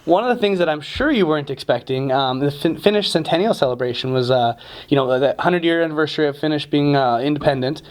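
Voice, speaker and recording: A man hosting a casual university interview, chatty and quick to laugh. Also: on the high side, animated. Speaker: host.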